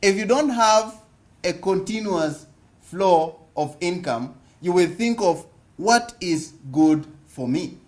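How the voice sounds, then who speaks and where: Slow-talking Kenyan man, high voice. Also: expressive, darkish tone. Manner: asks the audience questions, repeats points slowly. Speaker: speaker at a business forum. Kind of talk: interactive seminar talk. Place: conference hall.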